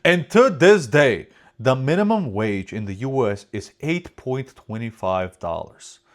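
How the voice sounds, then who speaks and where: Casting: European man hosting a reaction video, rambling and profane, not a native English speaker, mid-pitched. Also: expressive, measured pace. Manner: rambling, swears freely, trails off. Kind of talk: casual profane commentary.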